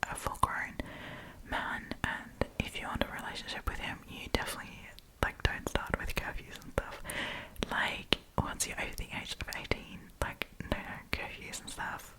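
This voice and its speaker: A woman hosting an ASMR storytime in a breathy, unvoiced whisper, reading online stories aloud with chatty, informal asides, close to the microphone. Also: normal speed, rough recording. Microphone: close propped-up microphone.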